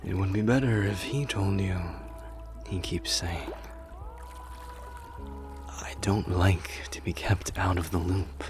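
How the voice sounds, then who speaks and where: Man voicing a low, slow, brooding warrior beast. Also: steady tone, music in the background. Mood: troubled, confiding. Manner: slow, pensive, often dropping to a breathy murmur. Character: brooding male wolf warrior, former general, troubled and loyal. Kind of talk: ASMR roleplay, scripted character monologue.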